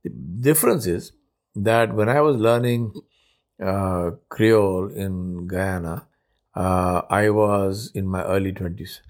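An older man in his seventies from India, his first language Urdu, speaks in a fairly low voice. He talks through his solo podcast in an unhurried, reflective way. He often pauses on "uh" and restarts his sentences. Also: darkish tone. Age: 70s. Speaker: podcast host.

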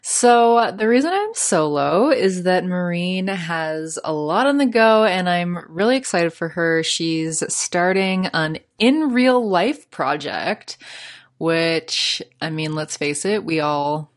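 A woman's voice: on the low side, expressive, slow pace. A young adult woman with a Canadian accent hosting a solo podcast, chatty and prone to laughing, with lots of fillers. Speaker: podcast host.

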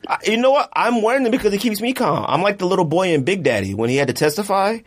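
A man hosts a casual, friendly podcast debate. His voice sits a little high and moves up and down a lot. He talks in slang and repeats points to drive them home. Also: fast talker. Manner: animated, slangy, repeats himself for emphasis. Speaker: podcast host.

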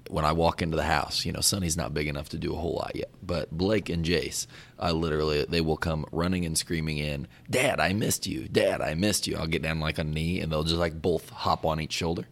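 Man, very low voice, gravelly, raspy today, upbeat podcast guest. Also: steady tone, fairly quick. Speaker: guest, lawn care business owner. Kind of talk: casual podcast chat.

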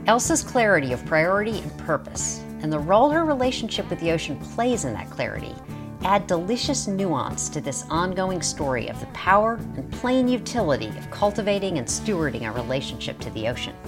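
A woman's voice: on the low side, big pitch swings, a little fast, music in the background. A woman hosting an interview show, reading a scripted introduction and then asking questions in an earnest way, laughing often. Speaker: podcast host. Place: outdoors at a beach.

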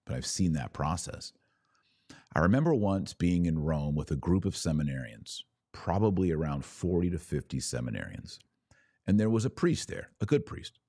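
A man delivering a religious teaching monologue as a podcast host, speaking in a deliberate, didactic way with emphatic pauses between phrases. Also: sits low, expressive, mellow, no echo, clean studio sound.

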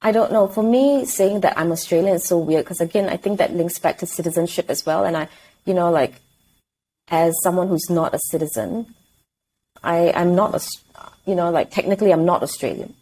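Female interview guest, Singaporean accent, dark mellow tone, slightly low pitch, thinking aloud conversationally. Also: fairly quick, breathy edge, poor audio.

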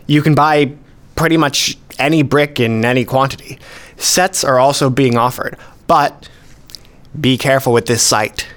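A man's voice: mid-range voice, measured pace, a bit bright, natural voice. An actor playing a modest, precise male hobbyist collector, explaining things methodically in a radio play. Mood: matter-of-fact, modest. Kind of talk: scripted dialogue, explaining.

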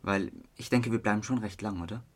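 A man's voice: lowish voice, a little fast, natural voice. A man with a Swiss German accent playing a friendly, slightly awkward teenage boy in a youth radio play. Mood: casual, encouraging. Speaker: actor in an audio drama.

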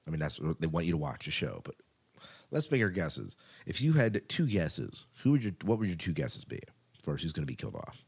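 A man with a very deep, low-pitched voice co-hosts a podcast. He chats casually about television news. He leans on key points by repeating words for emphasis. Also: wildly swooping pitch, a little fast, gravelly, darkish tone, breathy edge. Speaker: podcast co-host.

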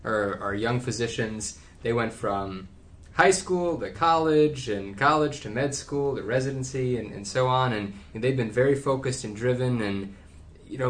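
A man's voice: medium pitch, a bit bright. A man hosting a casual interview, asking questions in an informal way. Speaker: host, interviewer.

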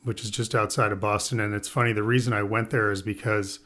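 A man who grew up in the Midwest, a winemaker talking as a podcast guest in a relaxed, rambling way. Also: on the low side, fast talker. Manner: relaxed, rambling, with fillers and laughs. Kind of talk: casual interview chat.

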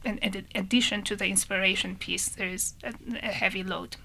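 A woman who grew up in Iran, answering interview questions in a thoughtful, halting way with many restarts. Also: medium pitch, steady tone, gravelly, pushed and bright, slight background noise. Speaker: guest.

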